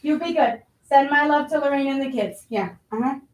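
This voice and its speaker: A woman's voice: high-pitched, moderate pace, close to an everyday voice. A woman playing a blustery, money-minded restaurant boss in a staged reading of a play. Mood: chummy, complaining. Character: pushy, money-minded restaurant boss, self-pitying and scheming. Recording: roomy, distant microphone.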